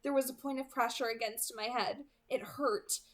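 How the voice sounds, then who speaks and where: A woman hosting a read-aloud, speaking in an earnest, expressive storytelling way. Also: on the high side, normal speed. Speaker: host reading a novel aloud. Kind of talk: dramatic audiobook-style read-aloud with casual introduction.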